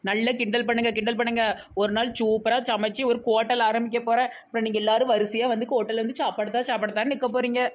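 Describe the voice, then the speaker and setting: Lady doing a whiny boastful village wife for a cartoon, tirunelveli tamil accent, really fast, highish voice. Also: level tone, natural voice. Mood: boastful, chatty. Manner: whiny, pleading, melodramatic. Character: boastful, dramatic young newly married village woman. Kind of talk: comedy cartoon dialogue.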